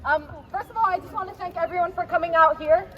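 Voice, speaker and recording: A woman's voice: extremely high pitch, fast talker, highs cut off, over music, a crowd in the background. A woman delivers a spoken-word poem as a public speech, declaiming with passion and repeated phrases. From Palestinian diaspora. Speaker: protest speaker, poet. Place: outdoors at a city street protest. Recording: noisy outdoor street with traffic ambience.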